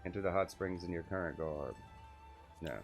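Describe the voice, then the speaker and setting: A man with a very low voice streams a game with music playing underneath. He talks casually, commenting as he plays. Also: fairly even intonation, normal speed. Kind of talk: reading game dialogue aloud, casual commentary.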